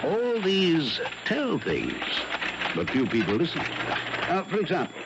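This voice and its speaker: An actor playing a suave, shrewd French detective in a radio mystery, courtly and deliberate, with small hesitations. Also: male voice, fairly high pitch, wildly swooping pitch, full character voice. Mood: instructive, teasing. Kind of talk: 1970s radio mystery drama acting. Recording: muffled, noisy 1970s radio recording.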